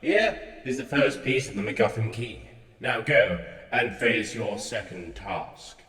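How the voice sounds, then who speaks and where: An actor playing a solemn guardian of a crypt trial in a comic fantasy audio drama, proclaiming grandly. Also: male voice, lowish voice, lively intonation, a little slow, fairly bright tone. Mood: portentous. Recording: echoey cavernous reverb.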